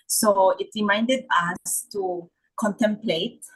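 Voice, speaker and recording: A woman's voice: mid-range voice, steady tone, slow, husky, poor audio. A woman from the Philippines sharing a reflection on a video call, hesitant, with many "uh"s and a tag "no?". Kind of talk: casual spoken reflection.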